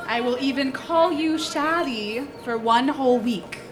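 A woman's voice: high voice, a crowd in the background. An actress playing a nervous, determined middle-aged mother from Iowa in a live radio play. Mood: stern. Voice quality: halting, nervous laughter. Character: flustered Midwest mother, embarrassed. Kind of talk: live stage radio drama.

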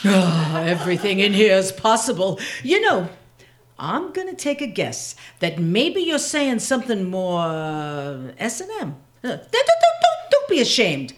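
An actress playing a sex shop clerk who welcomes customers slowly and soothingly in a live radio play. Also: female voice, mid-range voice, huge pitch swings. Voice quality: slow, soothing. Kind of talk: live stage radio drama.

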